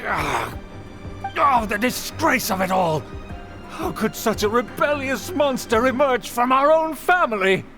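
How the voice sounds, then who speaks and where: A man's voice: very high-pitched, very animated, measured pace, exaggerated voice. An actor playing a haughty, scheming older nobleman in a video game, pompous and theatrically indignant. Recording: music behind the voice. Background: game music.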